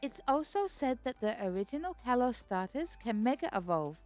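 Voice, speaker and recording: A woman hosting her own video, reading a scripted explanation in a measured, explanatory way. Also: fairly high pitch, sing-song, a little mellow, soft background music. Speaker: video host. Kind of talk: scripted narration.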